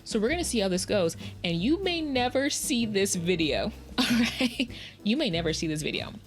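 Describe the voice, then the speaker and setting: A woman's voice: mid-range voice, big pitch swings, moderate pace, faint music behind. A woman hosting her own podcast in casual solo chat, tired after a day's work, chatty and self-deprecating, laughing often as she talks close to a podcast mic. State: tired in the evening. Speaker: podcast host.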